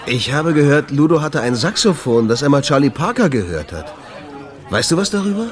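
Insistent, sardonic jazz club owner, lively man. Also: fairly high pitch, brisk, some chatter behind. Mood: casual probing. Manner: probing, terse, sardonic. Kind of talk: German radio drama acting.

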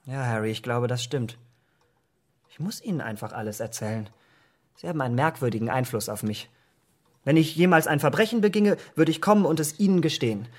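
Guy playing a young victorian gentleman, breathy voice, earnest and rapturous, easily swayed, radio drama. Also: medium pitch, lively intonation, a little fast, lightly acted. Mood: confiding. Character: infatuated, impressionable vain young gentleman in Victorian London. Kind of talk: German radio drama acting.

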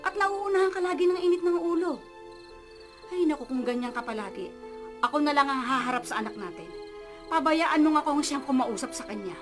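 A woman's voice: high voice, a little fast, over music, close to an everyday voice. An actress playing a worried, protective mother who pleads emotionally for her son in a radio drama. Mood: anxious pleading.

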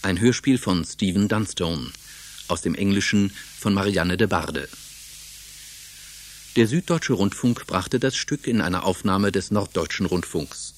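A male radio announcer with a lowish voice. He reads scripted programme credits in a formal, measured style.

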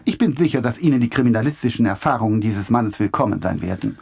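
An actor playing a pompous, condescending male public prosecutor who declaims in a husky voice in a German radio play. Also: mid-range voice, fast, darkish tone. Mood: condescending, official.

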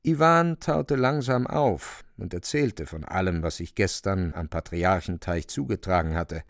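A man's voice: low-pitched, animated, measured pace, no echo, studio quality. A man narrating a radio drama in a scripted, literary storytelling style.

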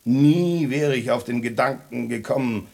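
A man's voice: medium pitch, measured pace, vocal fry, mellow. A man playing a scornful, proud lone tomcat in a radio drama, speaking in a haughty, sardonic, mock-formal way. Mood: proud, reproachful.

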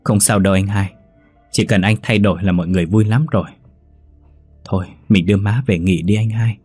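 A forgiving young sister speaks soft, consoling words, as a male narrator plays her. The husky, low voice is heard close and dry. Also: steady tone, brisk, dry recording, soft background music.

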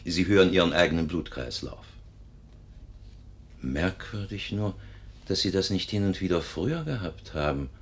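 An actor playing a sober, measured doctor in a 1950s German radio drama. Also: male voice, low voice, animated. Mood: sober, resigned. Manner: measured, clinical, deliberate. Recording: vintage 1950s radio recording.

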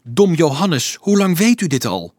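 A man's voice: medium pitch, very animated, breathy edge, no room sound. A man voicing an indignant, disbelieving teenage boy who questions insistently in a dramatised audiobook reading. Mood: angry, accusing. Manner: urgent, insistent questioning, halting with shock.

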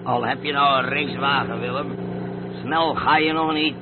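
A man's voice: steady tone, moderate pace, lightly acted. An actor playing an impatient, fretful, suspicious male crook in a radio drama, nagging sourly and repeating his words. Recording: tinny noisy radio recording, motor rumble.

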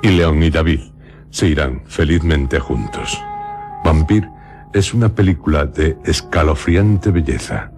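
A man presenting scripted radio commentary in measured, literary phrasing. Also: rumbling low, a little mellow. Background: music behind the voice.

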